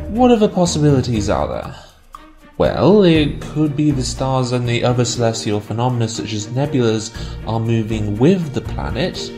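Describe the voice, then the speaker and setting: A man with a mid-pitched voice narrates a scripted explainer. His delivery is measured and analytical, like a host laying out an argument step by step. Music plays clearly behind him. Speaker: video essayist, host. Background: background music.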